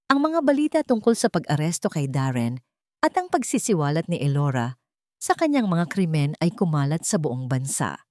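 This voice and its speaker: Woman, lowish pitch, dry close sound, scripted romance narration, measured phrasing. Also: darkish tone, no room sound. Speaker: story narrator.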